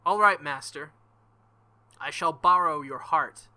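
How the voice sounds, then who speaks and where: A man's voice: on the high side, takes it slowly, sharp tone. A young man reading a visual novel aloud as a let's play host, with joking, crude asides between passages.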